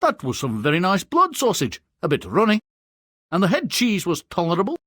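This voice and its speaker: A cowardly, callous merchant father from a comic fairy-tale game. The voice is high and very changeable in pitch. He whines with pompous melodrama, recorded dry. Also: huge pitch swings, a little fast, bright, forceful tone, no room sound, exaggerated voice. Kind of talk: comic video game cutscene acting.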